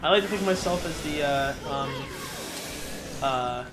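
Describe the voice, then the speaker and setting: A man's voice: fairly high pitch, moderate pace, noisy background. A man hosting a video game let's play, giving casual, joking commentary in a rambling, hesitant way with mock-earnest enthusiasm. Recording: game music behind the voice.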